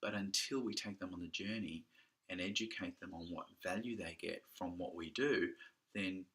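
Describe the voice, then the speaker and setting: A man's voice: deep voice, moderate pace, fairly bright tone. A man giving an informal instructional talk as a business coach, speaking in an emphatic, conversational way directly to the listener.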